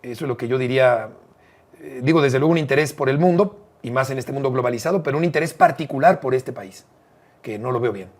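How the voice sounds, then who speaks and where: A middle-aged Mexican man with a Mexican accent, speaking in a husky, slightly breathy voice at an ordinary mid pitch. He talks earnestly and reflectively, like a guest opening up in a friendly interview. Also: fast, a little mellow. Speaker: interview guest, radio broadcaster. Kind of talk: warm casual interview talk.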